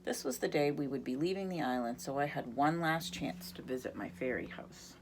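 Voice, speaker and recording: A woman's voice: a bass voice, a little fast, background noise. A woman reading a picture book aloud expressively, now and then speaking to young listeners. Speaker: reader.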